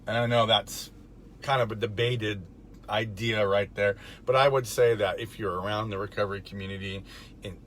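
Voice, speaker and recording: A middle-aged man with a raspy, gravelly voice, hoarse from being under the weather, talks casually about faith and recovery from his parked car, with fillers and soft chuckles. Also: fairly low pitch, moderate pace, slight background noise. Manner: relaxed, rambling with fillers and chuckles. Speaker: recovery vlogger. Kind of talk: casual faith talk to camera.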